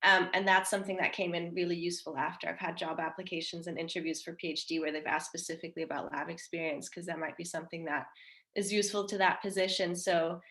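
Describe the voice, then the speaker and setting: A woman's voice: on the low side, flat delivery, fast talker, fairly bright tone. A Danish woman in her twenties with an American accent, a marine researcher talking casually as a guest, with many restarts and fillers. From Denmark. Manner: rambling, frequent restarts and fillers. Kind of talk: casual livestream talk.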